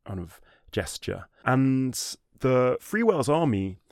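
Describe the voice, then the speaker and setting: A man telling a history story in a conversational way, animated, with frequent restarts and fillers like "sort of" and "um". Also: medium pitch, slow, no room sound, clean studio sound. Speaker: history guest.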